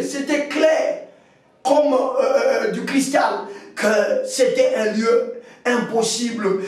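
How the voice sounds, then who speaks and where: An impassioned male preacher with a very high-pitched voice leads prayer with commanding, repetitive urgency. He often pushes into shouting, and his voice rings with heavy echo, picked up at a distance from the microphone. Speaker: preacher leading a prayer meeting. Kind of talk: fervent exhortation and prayer, insistent repetition. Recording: very echoey hall, distant from mic.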